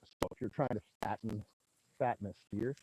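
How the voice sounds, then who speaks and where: A man with a booming voice, a rancher, casually explaining grazing math on a livestream from his pasture. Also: normal speed, dark tone, breathy edge, no room sound, rough recording. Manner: casual, folksy, explains step by step. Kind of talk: livestream teaching talk, chatting with viewers. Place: outdoors in a pasture among cattle. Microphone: small mic clipped to his hat.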